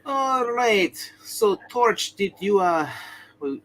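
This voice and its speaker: A man's voice: high voice, animated, takes it slowly, fairly bright tone. A man chatting casually as a player in a tabletop game, on a slightly noisy mic with room ambience.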